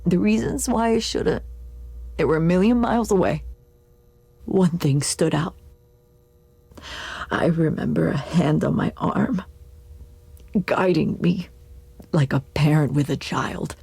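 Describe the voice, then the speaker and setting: A woman voicing a drugged, remorseful police sergeant in a video game, husky and halting, slipping into near-whispers. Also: deep voice, mellow, no echo, exaggerated voice. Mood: haunted. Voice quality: husky, breathy.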